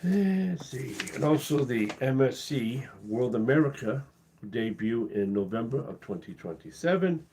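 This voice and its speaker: A man hosting his cruise channel live, losing his voice and talking in a halting, casual way with many pauses and restarts. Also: mid-range voice, lively intonation, warm tone, slight background noise, low-quality recording. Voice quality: husky. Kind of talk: casual live-stream talk.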